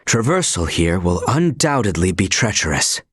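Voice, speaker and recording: A man's voice: deep voice, sing-song, normal speed, a bit bright, breathy edge, no room sound, heavily acted. Young male royal retainer, video game character, formal archaic diction, stern, lilting melodic delivery, close dry sound. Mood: measured, cautionary.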